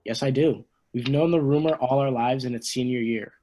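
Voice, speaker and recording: A man playing an eager, insistent high school senior in an amateur script read over a video call. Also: normal speed, warm tone, natural voice.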